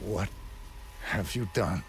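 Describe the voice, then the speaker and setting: An actor playing a stoic professional assassin in a video game cutscene, speaking in a terse, deadpan way. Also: male voice, deep voice, takes it slowly, heavy background noise. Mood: grim, subdued. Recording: very loud music under the voice.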